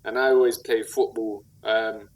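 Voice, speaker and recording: A man's voice: lowish voice, little pitch movement, normal speed, poor audio. A man with a South London accent speaking conversationally and earnestly in slang, reflecting and telling stories as an interview guest. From South London, England. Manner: earnest, slangy, with repeated "like" and "you know what I mean". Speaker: podcast guest, rapper and community activist.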